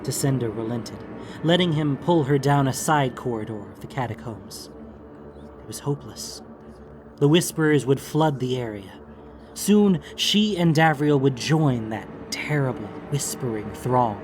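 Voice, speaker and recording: A man giving measured, theatrical narration of a fantasy audiobook. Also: mid-range voice, animated, background noise.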